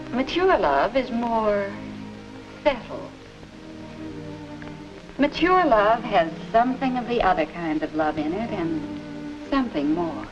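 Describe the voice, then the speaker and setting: An actress playing a gentle, wise middle-aged American mother giving measured, instructive advice in a 1950 educational film. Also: mid-range voice. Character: gentle, wise mother advising her daughter. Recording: dull vintage film sound, music behind.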